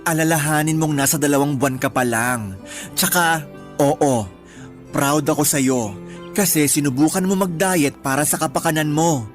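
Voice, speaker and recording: A man playing a playful, campy best friend in a radio drama, expressive, teasing and encouraging. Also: a little high, normal speed, soft background music, close to an everyday voice. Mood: proud, encouraging. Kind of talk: Tagalog radio drama dialogue, conversational acting.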